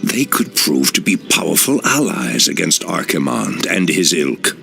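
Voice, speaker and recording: A man voicing an ancient, grave elf druid leader in a game cutscene, speaking in a deep, solemn voice. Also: big pitch swings, measured pace, gravelly, exaggerated voice. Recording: voice over loud music.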